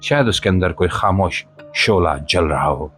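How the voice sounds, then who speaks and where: A man narrates a scripted spoken-word monologue in a solemn, emphatic, rhetorical manner, with short pauses between phrases. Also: deep voice, expressive, a bit bright. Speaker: podcast host and voiceover narrator. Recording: voice-over with music behind.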